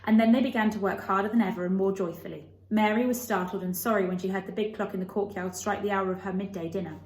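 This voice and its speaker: A woman reads a children's novel aloud as narrator. Her pitch is mid-range, and the room adds a clear echo to the recording. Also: fairly even intonation, fast talker, a little mellow. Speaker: storybook reader. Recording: echoey room.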